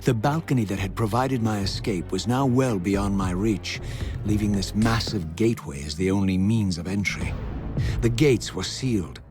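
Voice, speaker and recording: A man's voice: fairly low pitch, close to an everyday voice. A man playing a solemn, vengeful undead wraith in a video game, with formal, theatrical diction. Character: vengeful, solemn male undead wraith narrating his quest. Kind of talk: scripted dramatic video game narration and dialogue. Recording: background music under the voice.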